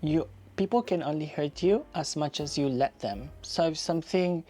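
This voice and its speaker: A man's voice: on the high side, normal speed, warm tone, slight background noise. A man in his thirties, raised in Spain, talking casually and reflectively to camera in a personal vlog, repeating phrases for emphasis. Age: 30s. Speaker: YouTuber.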